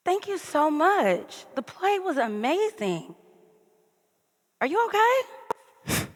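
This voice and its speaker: A woman's voice: extremely high pitch, very animated, slow, exaggerated voice. A woman playing a sassy, chatty young American woman in casual stage-play dialogue. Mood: friendly, curious. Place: theatre stage. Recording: stage hall with long reverb.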